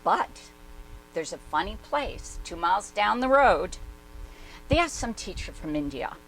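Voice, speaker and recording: A woman's voice: mid-range voice, big pitch swings, measured pace, fairly bright tone. A woman acting a blunt, skeptical woman, noisy recording. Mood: matter-of-fact. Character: skeptical, hard-boiled older woman, former war correspondent, bitter and candid. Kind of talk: dramatic reading in conversational first-person monologue.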